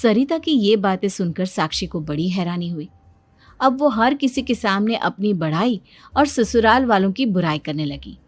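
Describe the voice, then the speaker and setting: A woman giving scripted narration for a Hindi cartoon story in an even storytelling delivery. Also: mid-range voice, normal speed, no echo.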